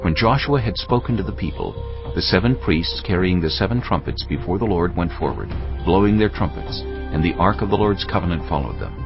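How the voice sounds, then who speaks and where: A man's voice: deep voice, animated, a little fast. A man giving scripted narration for a dramatized audio Bible. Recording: dull audio, music underneath.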